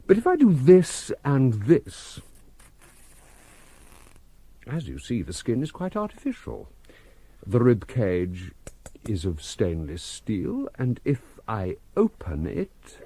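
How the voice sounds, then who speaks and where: An urbane, theatrical elderly scientist, wildly varied pitch. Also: male voice, mellow, slight background noise. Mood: courteous demonstration. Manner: formal, measured, theatrical. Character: genial, urbane, very old spacefaring scientist, eloquent and commanding. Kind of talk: radio drama acting.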